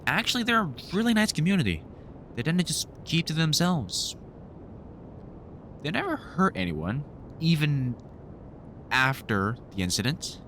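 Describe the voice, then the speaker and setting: A man playing a weary, kindly vampire hunter in an audio roleplay, talking to the listener in a halting, informal way with dry humour. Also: on the high side, very animated, a bit bright, a little hiss or hum, full character voice. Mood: awkward, reassuring.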